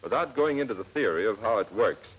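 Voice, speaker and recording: An American man narrating a 1940s military instructional film in a plain, measured, step-by-step manner, on an old film soundtrack. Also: fairly low pitch, animated, a bit bright, husky, rough recording. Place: scripted voice-over for film.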